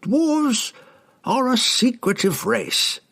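A man voicing a wise, learned old herald in a fantasy audiobook, explaining things in a knowing, deliberate way. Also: very high-pitched, lively intonation, gravelly, a bit bright, pitched above the performer's own voice, heavily acted.

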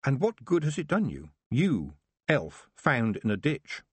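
A man's voice: mid-range voice, huge pitch swings, measured pace, slightly rough, no echo, voice pushed up, heavily acted. A man voicing a cold, emotionless female elf who speaks in a cool, detached, probing way.

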